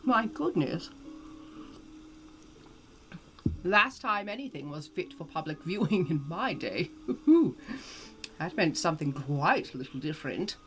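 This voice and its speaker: A woman's voice: low voice, sing-song, measured pace, full character voice. A woman playing a genteel, ageless Southern lady more than a century old, chatting theatrically and effusively in an old-fashioned American Southern accent. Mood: amused, teasing. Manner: posh, theatrical, drawling, effusive. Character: genteel ageless Southern woman over 150, playfully flirtatious. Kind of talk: in-character live-stream chat and storytelling.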